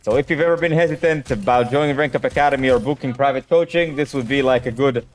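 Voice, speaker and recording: A man's voice: mid-range voice, fast talker. A man, a native Hebrew speaker, chatting casually as a game coach on a live stream and answering questions in a direct way.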